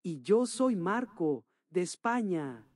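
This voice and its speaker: A synthetic male voice reading a slow lesson sentence for a language app. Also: sits high, big pitch swings, darkish tone, no echo, studio quality, heavily acted. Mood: friendly. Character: friendly text-to-speech male app character.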